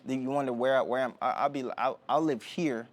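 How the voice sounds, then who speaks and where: A young man from Jamaica talking as a podcast guest, relaxed and rambling with many fillers and restarts. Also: medium pitch, brisk. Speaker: guest, Olympic sprinter. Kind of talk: casual interview talk. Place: indoor podcast setting.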